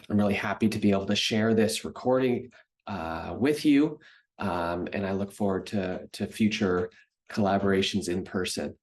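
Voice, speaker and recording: Canadian man giving a relaxed recorded lecture. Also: fairly low pitch. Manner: conversational with frequent ums and uhs. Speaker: researcher giving a recorded talk. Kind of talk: presentation lecture.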